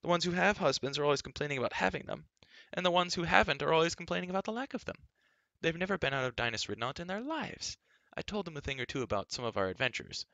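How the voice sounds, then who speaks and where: A man's voice: a little high, expressive, fast talker, creaky, bright, forceful tone, dry recording, muffled recording, voice pushed up, full character voice. A man voicing a spirited, headstrong, talkative young girl in a storybook, chattering emphatically and with indignation. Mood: eager, chattering. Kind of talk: acted audiobook dialogue.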